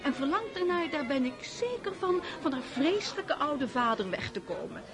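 An actress in a radio play as a gushing, mannered aristocratic hostess who gossips and makes matches. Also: female voice, high voice, very animated, moderate pace, music in the background. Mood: social gushing. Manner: effusive, mannered, sprinkles French endearments.

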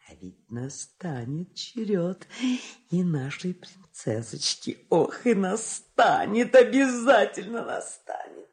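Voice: mid-range voice, expressive, slow. An actor playing a fawning, gossipy court councillor in a fairy-tale audio play, with exaggerated, mock-sorrowful sing-song. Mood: gloating whisper.

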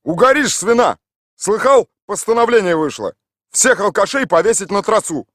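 A man voicing a sharp-tongued older village woman who shouts mocking scolds. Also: medium pitch, very slow, dry recording, studio quality, pitched above the performer's own voice. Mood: scolding.

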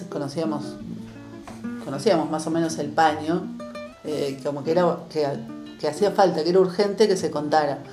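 An older Argentine woman talking reflectively in an interview, halting as she searches for words. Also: low-pitched. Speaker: interviewee, former radio drama maker. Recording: roomy echo with guitar music behind. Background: guitar music.

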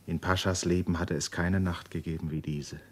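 A man narrating a literary radio drama in a measured, solemn manner. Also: low-pitched, fairly even intonation.

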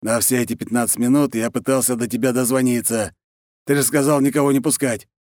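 A man voicing a sly, calm wolf servant with a dry teasing manner in an audiobook. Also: medium pitch, a little fast, dry recording, voice pushed up, lightly acted. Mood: wry. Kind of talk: audiobook character voice.

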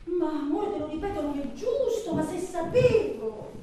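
An actress playing an anguished, lovelorn woman alone by the telephone, in a theatrical monologue with a dramatic, halting delivery. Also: sits high, very animated, dark tone. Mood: tender, wounded. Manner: dramatic, halting, swinging from murmurs to outcries. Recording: roomy, distant, echoing, faintly noisy.

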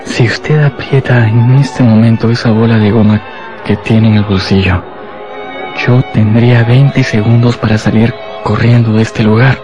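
An uneasy conspirator speaks in a medium-pitched voice, played by a man. He sounds nervous and searching, as in a tense radio play. Music is clearly audible behind him. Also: steady tone, normal speed, close to an everyday voice. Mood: wary. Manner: nervous, probing. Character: uneasy male conspirator, anxious and questioning. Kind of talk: Spanish-language radio drama acting.

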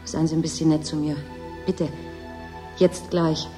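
A woman's voice: sits low, little pitch movement, unhurried, mellow, soft background music. An actress playing a bored, needy young woman at a desolate station in a German radio drama, restless and resigned. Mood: bitter, pleading.

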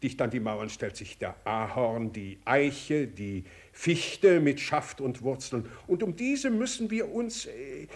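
An actor playing a sprightly old aristocratic uncle in a literary radio play, eagerly explaining with hesitations and repeated words. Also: male voice, mid-range voice, animated, slow pace, hardly any pauses. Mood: absorbed, enthusiastic. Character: sprightly old princely uncle, enthusiastic and rambling.

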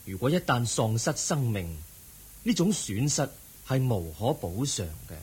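An actor playing the Buddha as a serene, compassionate teacher in a radio drama, speaking measured, earnest Cantonese with a Hong Kong accent. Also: male voice, mid-range voice, big pitch swings, a little mellow, breathy edge, exaggerated voice. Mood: solemn, earnest.

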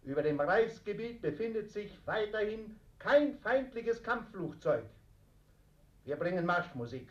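Echoey muffled radio, solemn 1940s military announcer, declamatory delivery, formal bulletins. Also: male voice, high voice, sing-song, a little slow. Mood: solemn, martial. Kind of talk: 1940s radio news reading. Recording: dull, echoey wartime radio sound.

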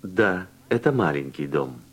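Man with a really low voice doing textbook dialogues, slow and careful. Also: expressive. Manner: clear, deliberate articulation in short answers. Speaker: language course reader.

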